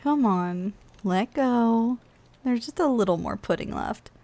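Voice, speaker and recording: A woman's voice: fairly high pitch, expressive, a little slow, mellow. A woman voicing a playful, lazy giantess office worker in an intimate ASMR roleplay, coaxing and casually condescending as she speaks straight to the listener.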